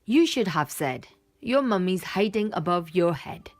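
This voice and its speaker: A woman's voice: on the low side, expressive, a little slow. A woman voicing a helpful but muddled butterfly in a children's picture book, eagerly coaxing and confident as a guide.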